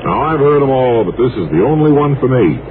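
An actor playing a boastful phonograph salesman in a mock radio ad, with brisk sales patter. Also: mid-range voice, sing-song, lightly acted. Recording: tinny, hissy 1950s radio broadcast.

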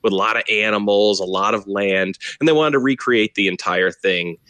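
Bright-voiced man telling rambling funny stories. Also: on the low side, moderate pace, no room sound. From Texas. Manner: rambling, self-deprecating, laughs often, frequent fillers. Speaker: stand-up comedian, guest. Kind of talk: casual interview chat.

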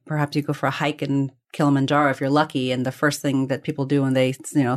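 A woman being interviewed, telling personal stories conversationally in a reflective, discursive way, often qualifying and correcting herself. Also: low-pitched. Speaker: interview guest.

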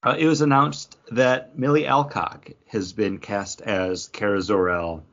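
A man's voice: fairly low pitch, steady tone, measured pace, a bit bright, muffled recording. A man hosting a casual pop culture news chat in a conversational way, often acting out imagined quotes. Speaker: podcast host.

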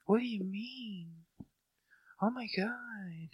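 A man imitating a panicked young woman from an old radio drama, high and breathless. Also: slow pace, husky, dry recording, dull audio, heavily acted.

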